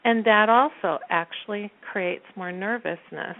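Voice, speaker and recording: Soft-voiced woman laughing on a phone line. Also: medium pitch, measured pace. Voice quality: naturally soft voice. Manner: laughs often while talking. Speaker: guest, performance coach. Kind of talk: casual interview talk.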